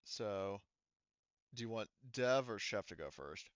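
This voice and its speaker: A man's voice: on the low side, lively intonation, unhurried, smooth, dry recording, dull audio. A man acting as game master of a tabletop roleplaying game, thinking aloud hesitantly as he works through the rules.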